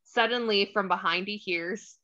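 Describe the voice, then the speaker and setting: A woman's voice: mid-range voice, normal speed, pushed and bright, dull audio. A woman narrating the stage directions of a screenplay in a scripted read. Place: remote online table read.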